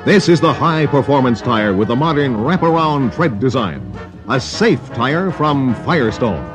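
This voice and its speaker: An American male announcer delivers a scripted 1960s radio advertisement with a lively, swinging pitch, set a little high. Music plays behind him on the dull AM recording. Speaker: commercial announcer.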